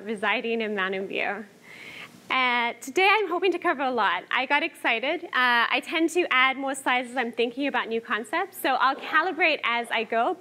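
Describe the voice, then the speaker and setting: Brief: young woman, bright clear voice, slightly high, chatty tech talk with ums. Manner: conversational, many fillers, laughs lightly. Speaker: conference speaker. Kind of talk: technical conference talk. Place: conference hall.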